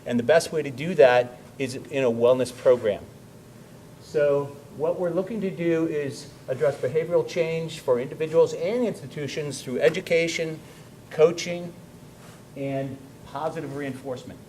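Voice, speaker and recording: Loud-voiced middle-aged man presenting. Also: on the high side, normal speed, slight background noise, low-quality recording. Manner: loud-voiced, with frequent "um" and "okay?" check-ins. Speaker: wellness program presenter. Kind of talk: business presentation. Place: school district meeting room.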